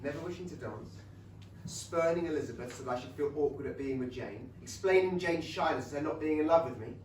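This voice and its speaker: A man acting a devout, conflicted young gentleman in a staged play reading, earnest and emotional. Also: a little high, brisk, natural voice. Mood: angry. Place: small theatre, recorded from a distance. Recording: roomy, distant theatre recording, faint noise.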